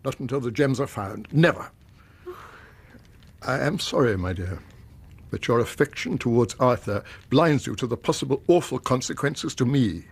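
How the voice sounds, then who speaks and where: A man's voice: medium pitch, lively intonation, normal speed, husky. A man playing a stubborn, agitated older Victorian uncle in a radio drama, formal and emphatic, with an English accent. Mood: stern, agitated.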